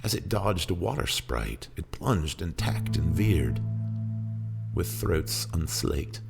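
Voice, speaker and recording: A man's voice: sits low, expressive, slow pace, gravelly. A man giving a theatrical, brooding and emphatic dramatic recitation of poetry. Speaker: poetry reader and performer. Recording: music under the voice. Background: guitar music.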